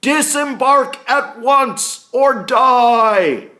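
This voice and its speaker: A man reading aloud acts out a commanding sea captain from a historical storybook. He shouts his orders in a drawn-out bellow, pitched far above ordinary talk. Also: exaggerated voice. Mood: fierce command.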